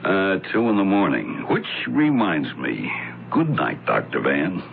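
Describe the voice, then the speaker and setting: Casting weary skeptical cop, extremely low lively voice, wry, vintage radio play. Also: male voice, unhurried, vocal fry, heavily acted. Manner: wry, casual. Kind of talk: 1970s radio drama acting. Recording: narrowband, hissy old radio broadcast.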